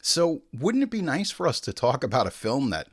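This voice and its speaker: A man's voice: mid-range voice, wildly swooping pitch, normal speed, husky, no room sound, studio quality. A middle-aged American man from Long Island hosting a film podcast in a casual, joking, hyperbolic monologue with some swearing. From Long Island, New York, United States.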